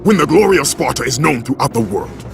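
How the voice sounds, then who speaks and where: A man playing a fierce, brooding Spartan warrior with a gruff, intense, terse delivery in a video game. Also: mid-range voice, expressive, fast. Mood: fierce, defiant. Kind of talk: video game cutscene acting. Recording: loud music over the voice.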